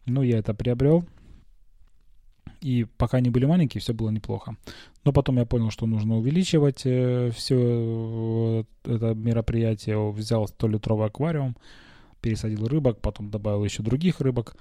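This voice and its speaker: Dark, mellow-voiced man telling casual stories. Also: medium pitch, steady tone, moderate pace, no room sound, studio quality. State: recovering from a cold, slight cough. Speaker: podcast host. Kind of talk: casual personal storytelling. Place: small quiet bedroom, lying on a bed.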